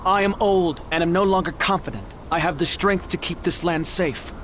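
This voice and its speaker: An actor playing a humble, anxious old governor of ancient China in a video game, formal and pleading. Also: male voice, high-pitched, normal speed, slight background noise. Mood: worried. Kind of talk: video game dramatic acting. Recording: voice under loud music.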